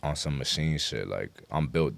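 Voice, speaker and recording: A man's voice: a bass voice, expressive, moderate pace, creaky. A man with a New York accent chatting casually as an interview guest, telling stories emphatically and with lots of slang. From New York City. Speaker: guest rapper.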